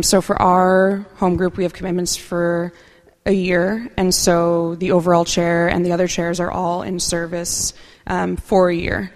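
A woman speaking softly and unhurriedly at a recovery meeting, sharing her own experience with many fillers. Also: fairly low pitch, big-room sound. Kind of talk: personal share at a meeting.

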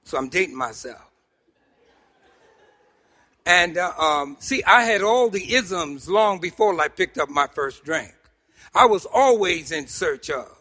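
Man, New York accent, high bright voice, animated personal testimony, humorous storytelling, emphatic pauses, long hall reverb. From Harlem, New York City, United States. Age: middle-aged or older. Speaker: speaker at a recovery meeting. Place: meeting hall, speaking to a group.

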